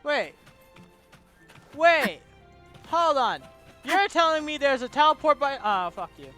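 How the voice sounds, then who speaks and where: A woman game streamer with a high, bright, very animated voice. She gives exuberant, casual live commentary and swears freely. Her delivery is unhurried. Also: faint music behind. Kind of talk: excited live gameplay commentary.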